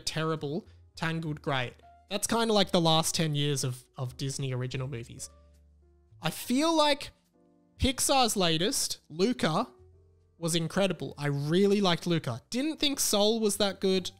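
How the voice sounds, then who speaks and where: A man with a fairly high, smooth voice shares a review as a solo podcast host. He speaks casually and off the cuff, hesitating as he works out his thoughts. Music plays behind him. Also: fairly bright tone. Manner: conversational, hesitant, thinking aloud.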